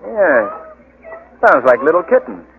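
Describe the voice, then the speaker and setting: An actor playing a composed, educated gentleman adventurer in a 1940s radio drama. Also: fairly high pitch, very animated, measured pace, gravelly, full character voice. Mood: curious. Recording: muffled, noisy 1940s radio recording.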